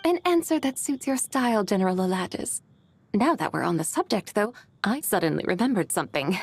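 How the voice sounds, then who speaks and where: A woman's voice: mid-range voice, animated, slightly rough. Serene, courtly immortal lady. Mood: gracious, reminiscing. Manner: formal, measured, poised. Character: serene, gracious ancient immortal woman, wise and courtly. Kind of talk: video game voice acting. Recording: background music.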